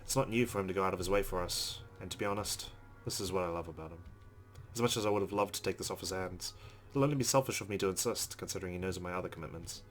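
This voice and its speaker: A man's voice: deep voice, racing, faint music behind. A man playing a visual novel, reading its narration aloud and dropping in casual asides as a let's player. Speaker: let's play gamer.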